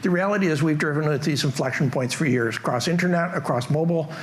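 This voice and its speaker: A man's voice: on the high side, quick pace. A middle-aged man with a Canadian accent giving a casual, joking tech conference talk in a large hall. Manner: casual, joking, self-deprecating. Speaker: conference presenter.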